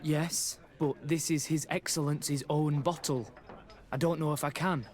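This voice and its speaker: An actor playing a nervous young soldier who speaks hesitantly and deferentially in a video game. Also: male voice, on the high side, fairly even intonation, moderate pace, a little mellow, a little hiss or hum, close to an everyday voice. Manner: hesitant, flustered, stammering. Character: nervous, deferential young male army recruit. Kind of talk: video game character acting.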